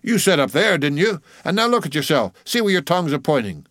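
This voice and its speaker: A man puts on a domineering seaman from a storybook. He barks orders and teases with mock gravity, his voice lifted above a middle pitch in a dry recording. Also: sing-song, brisk, a bit bright, dry recording. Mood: hectoring. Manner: teasing, mock-solemn, commanding. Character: bossy, mocking ship's officer, a man ordering a cook.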